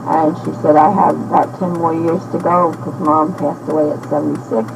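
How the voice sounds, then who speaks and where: A woman in her sixties talking slowly and haltingly into a personal audio diary, with many pauses and fillers, heard from an old cassette tape. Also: low-pitched, little pitch movement, gravelly, background noise. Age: 60s. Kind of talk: rambling spoken diary.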